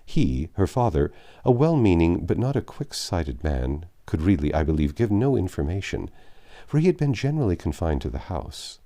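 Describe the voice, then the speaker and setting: Man reading as a deep, sorrowful English gentleman. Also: big pitch swings. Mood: sombre, regretful. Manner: halting, earnest, formal. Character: grave, sorrowful middle-aged English gentleman confessing with restraint. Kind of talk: dramatic audiobook reading of classic novel.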